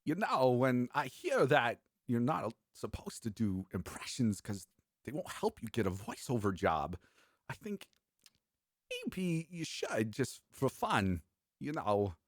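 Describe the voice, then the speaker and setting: A man's voice: medium pitch, huge pitch swings, slow pace, no echo, studio quality. A man co-hosting a casual chat, joking around and sometimes trailing off mid-thought. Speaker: podcast co-host.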